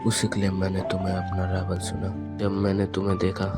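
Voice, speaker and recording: A man's voice: low voice, completely flat delivery, brisk, over loud music, lightly acted. A man voicing a brooding, intense young male ninja rival in an anime fan dub, solemn and confessional. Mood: remorseful, brooding.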